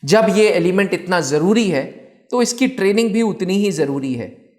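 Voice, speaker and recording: A man presenting an educational explainer as an instructor, addressing students with emphatic, deliberate phrasing and repeating words for stress. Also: high voice, animated, darkish tone. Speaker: instructor presenting a defence exam channel.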